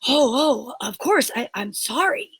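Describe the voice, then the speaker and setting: A woman playing a flustered, excitable male police inspector in an old-time radio style drama, melodramatic and exclamatory. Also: high voice, unhurried, slight background noise. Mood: urgent.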